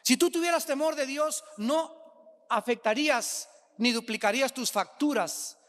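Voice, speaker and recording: Male preacher, Mexican accent, bright forceful tone, driving points home through repetition, long hall reverb. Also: very high-pitched, a little slow. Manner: emphatic, repeats phrases for effect. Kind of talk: sermon.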